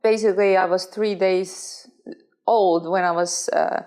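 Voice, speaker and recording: A woman's voice: mid-range voice, steady tone, measured pace, a little mellow. A woman from Estonia talks as a guest in an interview, her speech earnest and full of small restarts. Her English has a non-native shape, with phrasing that stops and reaches for the next word. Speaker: guest, professional swimmer. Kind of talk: conversational interview.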